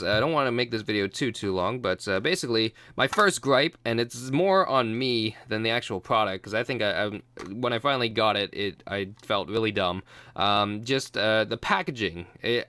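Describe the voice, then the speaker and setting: A man's voice: medium pitch, bright, forceful tone. A young man with a cold, sounding nasal and congested, rambling casually through a product unboxing with many ums and restarts. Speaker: YouTube reviewer.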